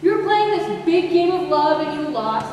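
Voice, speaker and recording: A teenage girl acting a hurt, bitter, sarcastic girlfriend in a high school stage play. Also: expressive, measured pace, a little mellow. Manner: sarcastic, accusing. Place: high school stage, audience camera far away. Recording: noisy echoey hall, recorded from far off.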